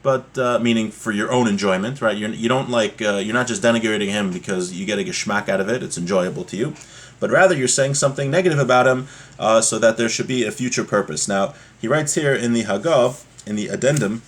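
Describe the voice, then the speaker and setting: A man's voice: lowish voice, fairly quick. A man giving a religious text lesson, reading aloud and explaining step by step with frequent rhetorical check-in questions. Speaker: religious teacher.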